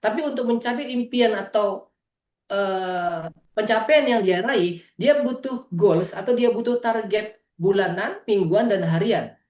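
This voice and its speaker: A man's voice: high-pitched, a little slow, a little mellow. Man, East Java origin, motivational business presenter on a video call; earnest, addresses listeners directly; echoey room sound. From East Java, Indonesia. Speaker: business presenter at an online meeting. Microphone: video call microphone.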